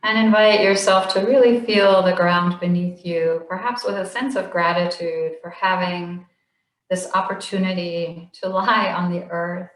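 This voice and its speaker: A woman slowly leading a guided body scan meditation in a gentle, soothing voice with long pauses. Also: fairly low pitch, reverberant, low-quality recording. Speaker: meditation instructor.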